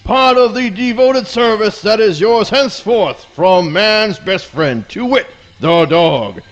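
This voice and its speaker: A man's voice: high-pitched, lively intonation, takes it slowly, muffled recording, faint music behind. A man playing a pompous, melodramatic, devoted hound dog in a comic radio play, declaiming in florid theatrical style. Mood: grandly grateful.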